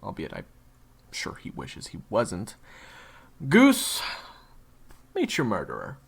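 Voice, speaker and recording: A man's voice: fairly low pitch, wildly swooping pitch, slow, vocal fry, slightly rough, exaggerated voice. A man playing a crude, sarcastic young monster-hunting leader in an audio roleplay, with a wisecracking, theatrical delivery. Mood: mocking, dramatic.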